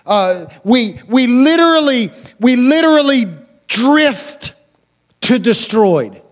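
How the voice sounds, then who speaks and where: A man's voice: very high voice, big pitch swings, slow pace. An American man preaching a sermon as a pastor, conversational and colloquial, repeating phrases for emphasis and putting questions to his listeners.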